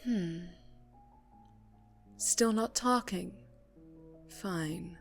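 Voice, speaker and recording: A dangerous, seductive female mafia boss, acted by a woman in an ASMR roleplay. She speaks slowly in a lowish voice, teasing and threatening with cruel relish, and often sinks into a breathy whisper. Music plays behind her. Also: heavily acted. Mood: sadistic amusement.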